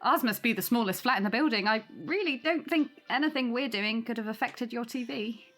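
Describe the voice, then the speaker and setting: A woman's voice: on the high side, a little fast, fairly bright tone, faint music behind. An actress playing a hospitable young British mother in an audio drama, polite, apologetic and reassuring. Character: Taylor, friendly hospitable young mother hosting Christmas. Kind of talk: naturalistic audio drama acting.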